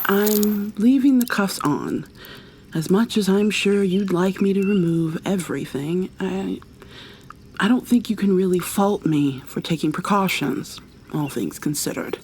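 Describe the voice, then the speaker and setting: A woman playing a tender, possessive kidnapper in an ASMR roleplay, speaking softly and haltingly, often slipping into a breathy whisper as she coaxes. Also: mid-range voice, a little hiss or hum, close to an everyday voice. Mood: gently firm. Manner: coaxing, gentle, halting. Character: tender, possessive female kidnapper doting on her captive lover.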